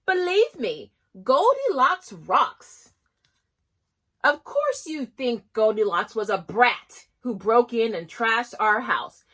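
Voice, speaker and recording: A woman's voice: on the high side, sing-song, slow, fairly bright tone. A woman giving a chatty, enthusiastic review of children's books and reading short excerpts aloud. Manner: chatty and enthusiastic, with frequent restarts and laughs. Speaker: homeschool book reviewer. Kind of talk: casual book review with read-aloud excerpts.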